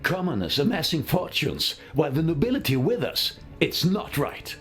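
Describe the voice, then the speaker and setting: A man's voice: on the low side, very animated, unhurried, fairly bright tone, soft background music, exaggerated voice. A man playing a pompous, indignant aristocratic officer, declaiming in a video game. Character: pompous, outraged aristocratic male German officer.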